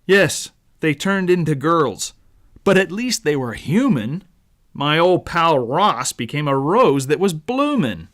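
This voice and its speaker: A man narrating a rhyming children's story as its author, in a dramatic, deliberate storyteller's manner. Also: on the high side, sing-song, a bit bright. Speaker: author reading own book.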